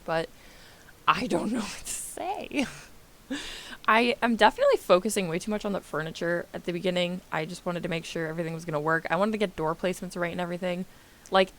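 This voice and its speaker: A young American woman, a little congested, giving a rambling, self-deprecating voice-over on her game build and laughing often. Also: on the low side. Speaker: gaming YouTuber.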